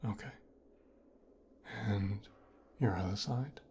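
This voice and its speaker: A man performing an ASMR roleplay as a teasing, commanding mafia boss's son, speaking softly and often whispering close to the microphone. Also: fairly low pitch, slow pace, a little hiss or hum. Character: mafia boss's son giving a teasing, domineering medical exam.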